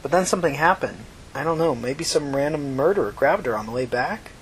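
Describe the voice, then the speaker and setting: A woman's voice: deep voice, lively intonation, brisk, slight background noise, low-quality recording. A woman voicing a desperate, stammering teenage runaway girl in an emotional audiobook story. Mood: puzzled. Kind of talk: audiobook character dialogue.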